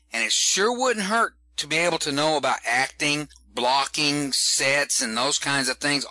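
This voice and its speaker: A man with a Southern Texan accent, a comedian giving folksy, animated advice in an interview, often repeating words as he starts a sentence. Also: fairly high pitch, no echo, low-quality recording. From Texas. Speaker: stand-up comedian guest. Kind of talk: casual interview talk.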